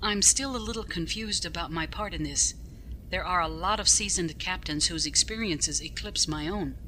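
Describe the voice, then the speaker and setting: A woman's voice: lowish voice, moderate pace, rough recording. A woman playing a stern, no-nonsense starship captain in a science fiction audio drama, with clipped, formal authority. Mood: formal, measured. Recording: steady background ambient noise.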